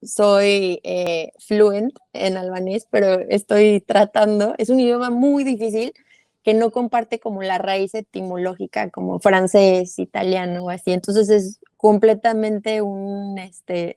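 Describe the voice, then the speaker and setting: Young Mexican woman, mid-pitch, interview guest, halting and thoughtful, dry room. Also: level tone, gravelly, dark tone, no room sound. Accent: Mexican Spanish. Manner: thoughtful, many fillers and restarts. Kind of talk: casual interview.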